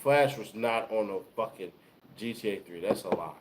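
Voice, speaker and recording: A young man co-hosting a casual chat, eager and quick to cut in, often repeating himself. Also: lowish voice, background noise.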